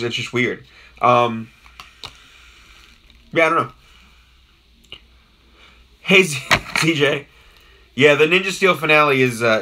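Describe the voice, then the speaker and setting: A young man talks loosely on a live stream, his voice raspy and hoarse from a worn-out throat. His tone is bright and forward, and he wanders between thoughts with lots of filler and restarts. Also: medium pitch, slight background noise. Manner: rambling, with many ums and restarts, greeting viewers in chat. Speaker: YouTube host doing a live unboxing. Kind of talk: casual live-stream chat.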